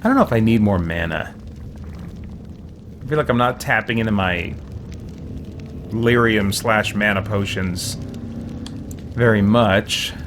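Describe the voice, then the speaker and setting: A man's voice: on the low side, a little slow, long pauses, background noise. A man streaming a role-playing game, giving casual commentary and muttering his thoughts as he goes. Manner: thinking aloud, muttering to himself.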